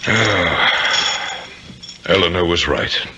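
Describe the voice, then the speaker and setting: A wrathful, commanding ghost of an old nobleman for vintage radio. The voice is low and piercingly forceful, with grand archaic phrasing. It rings through a long, cavernous reverb. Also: very slow, full character voice. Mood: wistful, deflated. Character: centuries-old male ghost of an English nobleman, menacing, wrathful, wistful. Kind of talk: 1970s radio drama acting.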